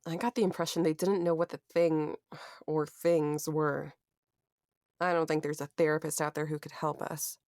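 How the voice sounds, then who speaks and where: A woman's voice: deep voice, level tone, normal speed, a little mellow, no room sound, studio quality. An actress playing a weary, traumatized young woman with a subdued, wry manner in a horror audio drama.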